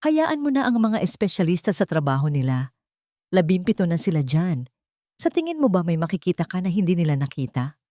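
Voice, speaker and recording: A woman's voice: fairly low pitch, sing-song, fairly quick, mellow, dry recording, studio quality. A woman playing a grumpy old head nurse in an audio drama, curt and condescending. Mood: scolding, dismissive.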